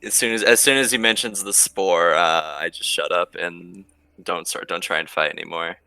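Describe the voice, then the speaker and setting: Casting: male tabletop gamer, deep gravelly voice, casual chat about his character's moves. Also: steady tone, moderate pace, pushed and bright, no room sound. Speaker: tabletop game player.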